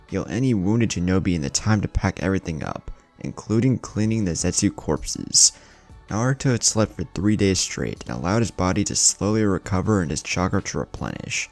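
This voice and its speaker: A man's voice: deep voice, vocal fry, a little mellow. A man narrating a scripted fan fiction story, with music playing behind him.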